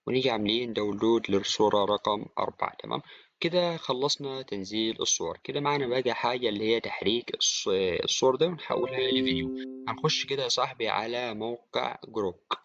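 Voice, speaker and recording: Very fast-talking Egyptian man, casual tutorial. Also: medium pitch, a bit bright, dry recording, soft background music. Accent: Egyptian Arabic. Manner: informal, addresses viewer as a friend. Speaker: tutorial presenter. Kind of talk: casual screen-recorded software tutorial. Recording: dry, slightly dull, with clicking.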